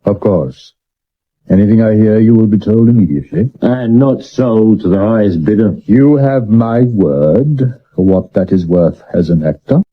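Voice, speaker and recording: An actor playing a vain, hard-drinking Victorian stage actor with a British accent and grandiloquent delivery, in a 1970s radio drama. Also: male voice, fairly low pitch, lively intonation, normal speed. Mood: grand. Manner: pompous, grandiloquent, given to sudden shouts. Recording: muffled old medium wave radio recording.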